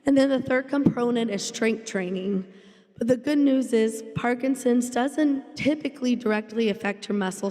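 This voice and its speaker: A woman with a slightly scratchy voice, an occupational therapist speaking briskly and encouragingly at a symposium panel. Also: on the high side, mellow. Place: conference hall. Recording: long reverb in a hall.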